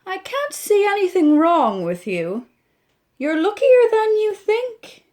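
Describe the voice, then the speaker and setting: A woman with an Irish accent voicing a calm, kindly doctor who speaks in a soothing, matter-of-fact way. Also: very high voice, slow pace, pitched above the performer's own voice, exaggerated voice.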